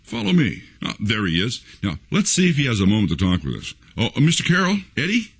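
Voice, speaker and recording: A man with a medium-pitched, animated voice narrates as host. His delivery is scripted and presentational, with a folksy touch. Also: fast, breathy edge, dry recording. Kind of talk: scripted guided-tour narration.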